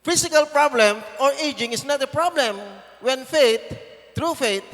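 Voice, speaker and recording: A man's voice: very high voice, moderate pace, a bit bright, in a large hall. A man in his fifties with a Filipino accent, preaching a sermon as guest pastor, emphatic and repeating phrases for stress. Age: 50s. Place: church.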